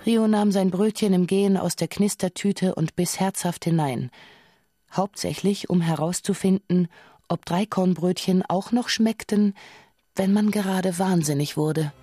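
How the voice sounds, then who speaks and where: A woman's voice: fairly low pitch, a little slow, dark tone, no echo. A woman acting as the narrator of a radio drama, recounting absurd events evenly in scripted prose. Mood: wry, even. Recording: dry studio, faint music.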